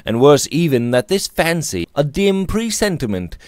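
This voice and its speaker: A man in his thirties reading a Victorian novel aloud with theatrical, deliberate phrasing, close to the microphone in a small room. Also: on the high side, big pitch swings, darkish tone, no room sound. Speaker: audiobook reader. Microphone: close studio mic on boom arm.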